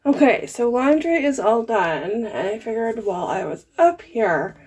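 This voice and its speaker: A woman's voice: on the high side, animated, a little slow, a little mellow, breathy edge, poor audio. A woman in her thirties chatting casually to camera at home as a lifestyle vlogger, laughing often as she talks through her chores. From Canada. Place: bedroom at home, indoors.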